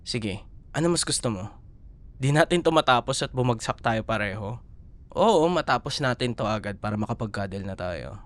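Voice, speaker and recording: A man playing a lively, teasing young Filipino boyfriend. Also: mid-range voice, moderate pace, natural voice. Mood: teasing, tired. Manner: teasing, coaxing, expressive. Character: playful, teasing young student boyfriend, affectionate but exasperated. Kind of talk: ASMR boyfriend roleplay, casual Tagalog banter.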